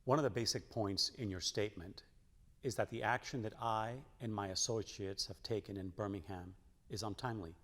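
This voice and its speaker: A man's voice: lowish voice, fairly even intonation, brisk, a bit bright. A man reading a historic letter and quotations aloud as a scripted recitation. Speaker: church member reading quotes aloud. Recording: echoing hall with long reverb.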